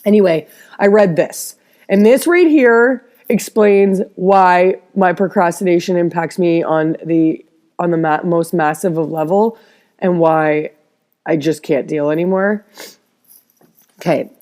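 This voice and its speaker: Woman vlogging, bit stuffy, rambles and restarts a lot, lower warm voice. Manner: rambling, halting, many restarts. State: stuffed-up nose, slight cough. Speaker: interior designer vlogger. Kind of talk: casual personal vlog.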